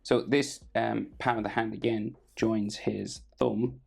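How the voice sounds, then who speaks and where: An Irish man with a gravelly, husky voice, casually talking through a drawing lesson step by step and trailing off quietly as he works. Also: fairly low pitch, slow pace. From Ireland. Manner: relaxed, thinking aloud while drawing, trailing off quietly. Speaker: drawing tutor.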